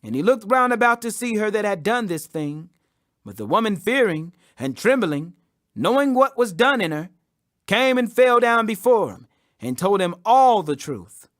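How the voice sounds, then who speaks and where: A man with a high voice reads scripture in a solemn, emphatic way. His pitch swings widely as he narrates, and the sound is close and dry. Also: big pitch swings, moderate pace, a bit bright, dry recording. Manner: solemn, emphatic, raising the voice for quoted speech. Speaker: scripture reader. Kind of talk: dramatic audio Bible narration.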